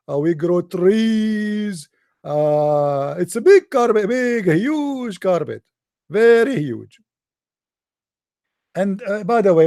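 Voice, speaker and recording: A man's voice: high-pitched, mellow, dry recording, studio quality. A man in a live online debate who reads a passage aloud and mocks it with sarcastic, mock-serious jokes. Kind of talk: live online debate, reading and mocking commentary.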